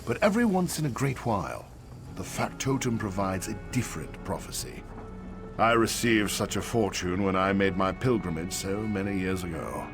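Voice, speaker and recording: A man voicing a weary, kindly old man in a fantasy game, speaking in a measured, reflective way. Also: low-pitched, expressive, music in the background. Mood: weary, wistful. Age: older. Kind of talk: AI-voiced fantasy game dialogue.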